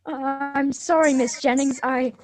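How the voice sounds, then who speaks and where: A woman's voice: high voice, fairly even intonation, a little slow, soft background music. A woman reading the part of a nervous, evasive teenage schoolgirl in a radio drama, hesitant and apologetic. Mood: flustered.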